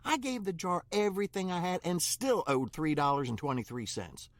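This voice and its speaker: A man's voice: high-pitched, lively intonation, moderate pace, dry recording. A man playing a flustered, dim small-town shop worker in a comedic audio drama, stammering and rambling in a folksy, nervous way. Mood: rambling, sheepish.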